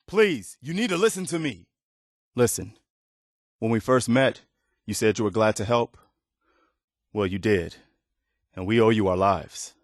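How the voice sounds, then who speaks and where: A man's voice: fairly low pitch, expressive, measured pace, pauses often, no echo, studio quality. A male actor voicing an earnest, weary, loyal militia soldier in a video game, speaking in a plain-spoken, folksy way. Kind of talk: dramatic video game dialogue.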